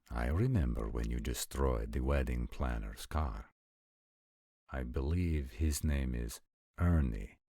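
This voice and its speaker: A man playing an ancient supernatural warrior in a roleplay audio drama, speaking formally and deliberately with concerned, protective gravity. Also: extremely low pitch, darkish tone, husky, no room sound, studio quality, full character voice. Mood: puzzled, questioning. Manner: formal, measured, stilted diction with deliberate pauses. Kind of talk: scripted roleplay audio acting.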